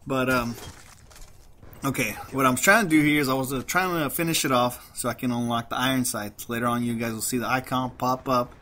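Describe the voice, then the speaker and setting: A man's voice: medium pitch, fairly even intonation, brisk, sharp tone, slight background noise. A man with a light Mexican accent, rambling through casual gameplay commentary and laughing at himself. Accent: light Mexican Spanish. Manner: rambling, self-deprecating, frequent restarts and laughs. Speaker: gaming commentator.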